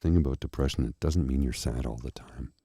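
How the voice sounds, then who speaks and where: A man with a very deep, dark, husky voice speaks slowly and earnestly, a tired frog in his throat. The sound is dry and close, with no room around it. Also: no echo. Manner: slow, earnest, heartfelt. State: tired and a little froggy-throated. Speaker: host. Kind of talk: candid personal talk to viewers.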